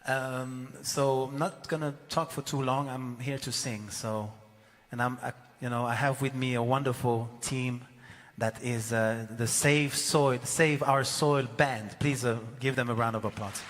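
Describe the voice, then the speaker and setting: A man speaking casually and a little haltingly to a live audience from a stage before singing. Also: medium pitch, steady tone, big-room sound. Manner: friendly, a little halting, many fillers. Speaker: singer addressing a live audience.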